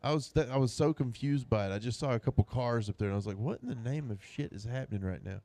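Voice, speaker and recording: Lively co-host, animated storyteller, mid-range voice, dry close mic. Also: male voice, mid-range voice, fast, no echo. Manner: animated storyteller, laughs often. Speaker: podcast co-host. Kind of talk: casual chat. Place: radio studio.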